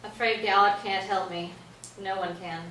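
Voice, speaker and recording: A woman's voice: medium pitch, steady tone, normal speed, a bit bright, close to an everyday voice. An actress in a staged play reading playing an anxious, fussy woman playwright, curt and exasperated. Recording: echoey stage, distant mic, noisy room.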